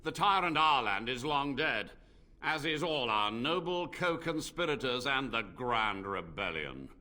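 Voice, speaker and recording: An ancient, forceful, slow-speaking blood mage. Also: male voice, mid-range voice, very animated, full character voice. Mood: bitter, weary. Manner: formal, theatrical, measured. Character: ancient unrepentant blood mage, grave and proud. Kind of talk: fantasy video game acting.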